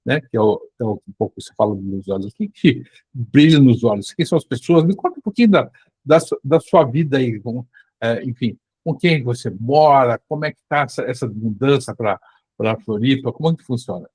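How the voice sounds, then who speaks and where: A man's voice: mid-range voice, expressive, fairly quick, a little mellow, slightly rough, dry recording. A man with a Brazilian accent hosting a casual interview, circling into his questions with repeated starts. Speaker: interviewer, host.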